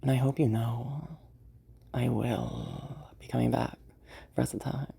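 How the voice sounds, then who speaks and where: A woman's voice: rumbling low, fairly even intonation, measured pace, gravelly, warm tone, husky, exaggerated voice. A woman playing a rich, playful and menacing young sociopath in an intimate audio roleplay, teasing and drawling. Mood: quietly menacing, teasing.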